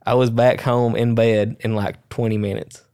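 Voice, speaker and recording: A laid-back man co-hosts a podcast and tells stories in a dry, understated way. His voice stays flat in pitch and sounds smooth. The recording is close and dry. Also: normal speed, dry recording. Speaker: podcast co-host. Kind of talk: casual podcast chat, storytelling.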